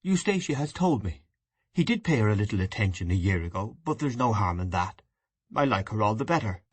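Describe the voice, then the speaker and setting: An earnest, idealistic young man speaks in a low, husky voice, as a man puts him on. He sounds steady and grave. The recording is close and dry. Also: fairly quick, no echo. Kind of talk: audiobook dialogue reading.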